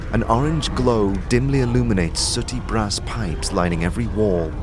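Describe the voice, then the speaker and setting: A man narrating a scripted fantasy audio drama in the second person, with measured, atmospheric storytelling. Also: sits low.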